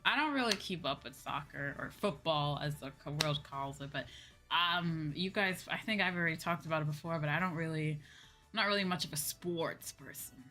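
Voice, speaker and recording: Lower-voiced woman streamer chatting over music. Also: normal speed, bright, forceful tone. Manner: rambling, thinking aloud, loosely repeating points. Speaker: livestream host and virtual streamer. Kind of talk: casual livestream chat with viewers. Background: background music.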